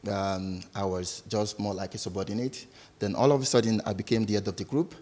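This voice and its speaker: A man's voice: lowish voice, fairly even intonation, a little fast, warm tone. A man with a Nigerian accent answering interview questions as a guest at a live business event, jovial and chuckling as he talks. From Lagos, Nigeria.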